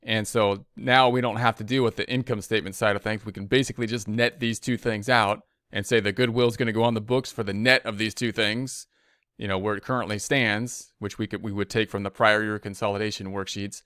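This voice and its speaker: Low, clear-voiced man teaching step by step. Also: steady tone, fairly quick, fairly bright tone, no room sound, studio quality. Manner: informal, step-by-step, repeats himself. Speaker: accounting instructor. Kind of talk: screen tutorial, thinking aloud while working a spreadsheet.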